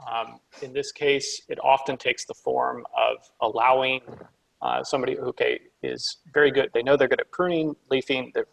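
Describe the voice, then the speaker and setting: A man speaks on a panel over a video call, halting often with fillers. His mid-pitched voice is heavily gravelly. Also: fairly bright tone, rough recording. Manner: halting, with many fillers and restarts.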